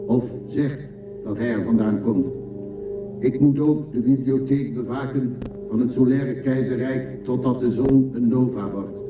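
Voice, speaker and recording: An actor playing a programmed machine guardian of an ancient library in a science fiction radio drama, speaking formally and in measured phrases. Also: male voice, medium pitch, steady tone, natural voice. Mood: impassive. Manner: formal, measured, matter-of-fact. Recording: echoey, distant, narrow-band, music behind.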